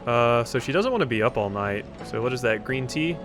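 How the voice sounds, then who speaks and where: A man doing casual let's play commentary, thinking out loud as he plays and reads a visual novel. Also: medium pitch, lively intonation, brisk. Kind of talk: casual game commentary, reading visual novel dialogue aloud. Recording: music behind the voice. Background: game music.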